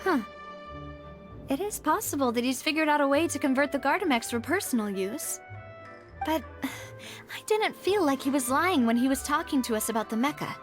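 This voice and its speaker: A woman playing a poised, thoughtful young woman investigator in a video game, musing in a measured, deliberate way. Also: high-pitched, lively intonation. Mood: doubtful, musing. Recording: game music behind the voice.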